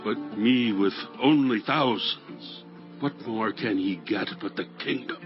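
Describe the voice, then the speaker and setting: An actor playing a jealous, scheming middle-aged king in a dramatized Bible audiobook, with a husky, deliberate, swelling delivery. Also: on the low side, highs cut off, music in the background. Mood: bitter resentment.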